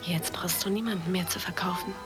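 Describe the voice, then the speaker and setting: Radio drama actress as cautious, resigned woman: lowish, subdued voice over prominent music. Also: steady tone, fairly quick, close to an everyday voice. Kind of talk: naturalistic radio drama acting.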